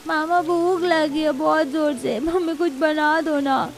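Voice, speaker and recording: A woman's voice: sits high, fairly even intonation, measured pace, slight background noise. A woman voicing a small, hungry, pleading child in a cartoon with a whiny manner. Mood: whining.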